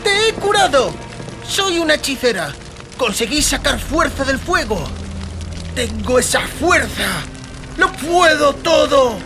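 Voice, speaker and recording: A woman's voice: high-pitched, big pitch swings, slow, over music, heavily acted. A woman playing a fierce, proud young sorceress girl in an audiobook drama, declaring things emphatically. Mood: proud, triumphant.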